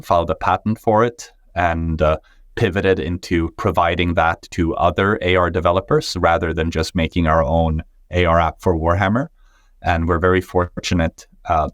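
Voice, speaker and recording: A man's voice: low voice, dry recording, clean studio sound. A man from Sweden whose first language is Swedish, a startup founder answering interview questions thoughtfully, with many fillers and restarts. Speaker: guest, tech startup founder.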